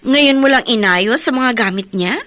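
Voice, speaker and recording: An actress with a Filipino accent playing an affectionate, informal younger sister in a radio drama. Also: female voice, fairly high pitch, normal speed, close to an everyday voice. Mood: breezy, curious. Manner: expressive, informal.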